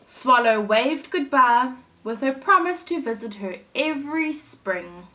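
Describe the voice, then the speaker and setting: A woman with a fairly high voice reads as a primary teacher to young children. She takes her time, phrasing each part expressively and deliberately. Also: animated, sharp tone, rough recording. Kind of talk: children's storytelling.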